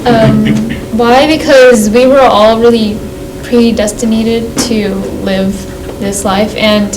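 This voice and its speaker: A female student answering in class, hesitant and soft-spoken. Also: a little high, fairly even intonation, slow pace, music in the background. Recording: distant mic, noisy classroom.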